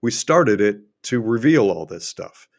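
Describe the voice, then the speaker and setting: A middle-aged man hosts a livestream and talks emphatically, asking question after question, and his voice is going hoarse. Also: fairly low pitch, lively intonation, unhurried. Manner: emphatic, repetitive, rhetorical questions. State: losing his voice. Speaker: host and finance adviser. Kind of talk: unscripted livestream monologue.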